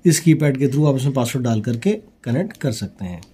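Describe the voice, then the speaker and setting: A man's voice: mid-range voice, lively intonation, a little fast, warm tone. A man in his thirties giving a casual, explanatory product demonstration, dropping English phrases into his speech. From India. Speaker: product reviewer and walkie-talkie seller. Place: small office, indoors.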